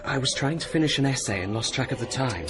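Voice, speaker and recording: A man's voice: medium pitch, animated, fast, loud music behind the voice, lightly acted. An actor playing a breezy, slightly sheepish young male university student who grumbles a lot. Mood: grumbling. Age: young adult. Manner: breezy, complaining, a bit sheepish. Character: cheeky young male Cambridge undergraduate. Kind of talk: radio drama acting.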